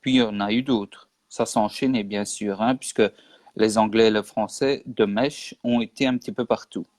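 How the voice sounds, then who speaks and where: A man's voice: lowish voice, fairly even intonation, moderate pace, darkish tone, no room sound. A man from Belgium, a guest in a casual interview, explaining history earnestly and insisting on points with repetition. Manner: earnest, insistent, repeats for emphasis.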